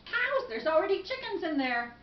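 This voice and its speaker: A woman voicing an exasperated man in a folktale, pleading loudly with drawn-out, wailing complaints. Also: very high voice, big pitch swings, voice pushed up, full character voice. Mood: indignant. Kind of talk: oral folktale storytelling. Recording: distant mic, echoey room, dull, faint noise.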